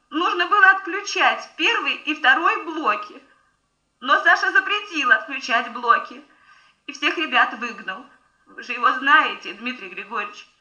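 An actress playing a distraught young female lab engineer, halting and deferential, in a muffled, echoey 1970 Soviet radio play recording. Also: high-pitched, pushed and bright, heavily acted.

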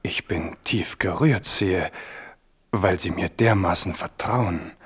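A man's voice: deep voice, slow pace, fairly bright tone, breathy edge, heavily acted. An actor playing a wily young captive king in a radio play, theatrical and ingratiating in his feigned submission. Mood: feigned awe. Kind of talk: 1970s German radio play acting.

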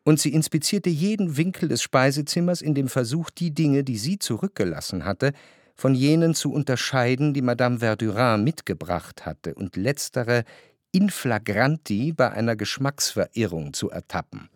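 An actor in a literary radio drama playing a refined young man who recounts his memories in a measured, introspective way. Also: mid-range voice, animated, lightly acted. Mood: reflective. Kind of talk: literary first-person narration in radio drama.

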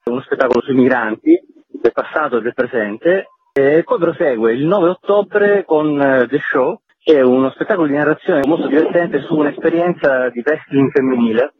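A man's voice: mid-range voice, normal speed, husky. A man giving explanatory answers as an interview guest, a festival's artistic director. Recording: tinny phone-like line, roomy echo.

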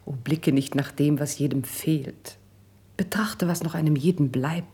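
Dark, husky courtly confidante. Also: female voice, low-pitched, exaggerated voice. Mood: encouraging. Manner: formal, measured verse delivery. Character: consoling, devoted lady friend of a princess. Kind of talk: classical German verse radio drama acting.

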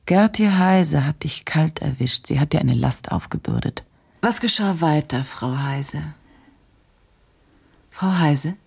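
Brooding woman reporter in a radio drama, low dark voice, intense and halting. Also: animated, exaggerated voice. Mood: restless, weary. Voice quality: low, husky. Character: brooding, driven woman journalist, troubled by her story. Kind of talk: German radio play, intimate monologue and interview.

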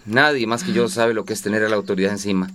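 An actor playing a protective, gently flirtatious hostel keeper in a radio drama, familiar and reassuring. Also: male voice, on the low side, steady tone, quick pace, natural voice. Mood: earnest, protective.

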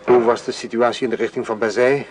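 A man's voice: medium pitch, steady tone, fast, darkish tone, close to an everyday voice. A man playing a sober, concerned husband in a wartime radio play, speaking with restraint. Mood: grave. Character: sober, concerned husband helping at a field hospital. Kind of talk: radio drama acting.

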